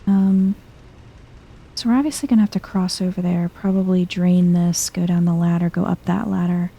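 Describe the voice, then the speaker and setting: A soft-spoken woman giving hushed ASMR-style game commentary, musing to herself in an unhurried, breathy way and often dropping into a whisper. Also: fairly low pitch, fairly even intonation. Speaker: video game streamer, let's player.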